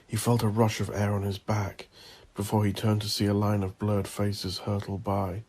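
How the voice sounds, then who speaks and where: A man narrating dramatic horror fiction as the host of an audio drama, in an ominous, theatrical and deliberate manner. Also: on the low side, monotone, darkish tone, slightly rough.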